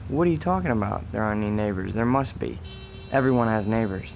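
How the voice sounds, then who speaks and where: A man's voice: lowish voice, animated, gravelly, darkish tone, faint music behind. An actor playing an injured policeman in a radio drama, weak and hesitant, polite and earnest. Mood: incredulous. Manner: hesitant, halting. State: weak, groggy.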